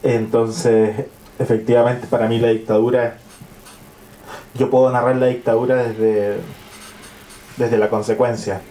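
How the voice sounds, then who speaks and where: A man's voice: medium pitch, flat delivery, warm tone. Hesitant Chilean man, distant roomy sound. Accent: Chilean Spanish. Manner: hesitant, many pauses and filler sounds. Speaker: writer interviewed. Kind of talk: reflective interview answers. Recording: roomy, distant mic with faint ambience.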